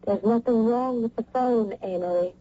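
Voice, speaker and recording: A woman's voice: fairly high pitch, moderate pace, natural voice. An actress playing an anxious, intuitive American wife and mother in a radio drama, worried and hesitant. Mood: anxious, frightened. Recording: dull old radio recording.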